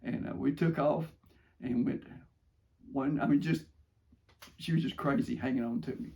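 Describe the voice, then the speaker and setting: Gravelly older American man telling stories. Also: medium pitch, steady tone, moderate pace, darkish tone, a little hiss or hum. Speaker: father telling stories from his youth. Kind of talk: casual first-person storytelling.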